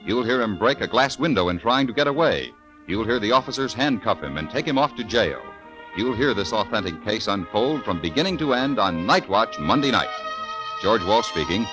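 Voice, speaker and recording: A man's voice: on the low side, expressive, normal speed, low-quality recording. An American man reading scripted announcements as a 1950s radio announcer. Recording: old 1950s radio broadcast. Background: music.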